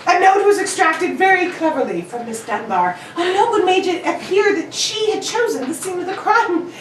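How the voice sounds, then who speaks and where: A high-pitched, brilliant detective played by a woman speaks in an exacting, theatrical radio-play manner. The voice comes from off the mic in an echoey room, with every phrase laid out precisely. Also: very animated, normal speed, fairly bright tone, heavily acted. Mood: triumphant, explanatory. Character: brilliant, exacting male detective, brisk and self-critical. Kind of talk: old-time radio drama acting. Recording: echoey room, distant mic, faint noise.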